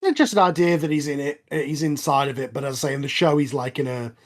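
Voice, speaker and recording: A man's voice: on the high side, quick pace. A man giving casual watch-along commentary as a writer and co-host.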